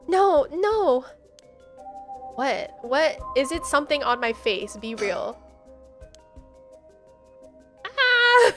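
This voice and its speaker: A woman with a fairly high voice streams a horror game over background music. She takes her time, reading the text aloud and mixing in casual, slangy reactions with a dramatic flair.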